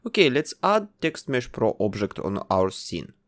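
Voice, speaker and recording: Male tutorial narrator, methodical and instructive, with a lively, varied pitch. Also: a little slow. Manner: methodical, instructive, explains each step. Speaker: tutorial host. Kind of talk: step-by-step software tutorial narration.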